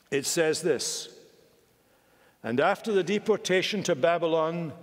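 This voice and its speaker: Older preacher in a reverberant church. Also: male voice. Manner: measured, emphatic preaching with sweeping pitch swings and gestures. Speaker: senior minister preaching. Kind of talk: sermon. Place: large wood-panelled church sanctuary. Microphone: pulpit microphone. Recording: long church hall reverb.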